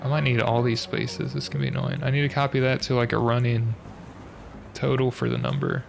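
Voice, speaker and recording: A young man with a stuffed-up, slightly nasal voice thinks aloud through a puzzle, hesitant and muttering as he works it out step by step. His voice has a gravelly, fry-laced edge at a middling pitch. Game music plays clearly behind him. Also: very animated, brisk. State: stuffy nose, a bit nasal. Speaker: streamer.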